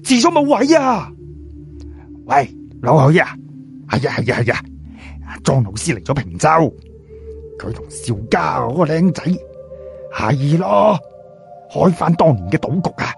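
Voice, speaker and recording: A man voicing an excitable middle-aged male jade trader in an audio story, urgently calling out news on the phone. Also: on the high side, gravelly, faint music behind, exaggerated voice. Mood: frantic excitement. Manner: urgent, shouting, drawn-out calls.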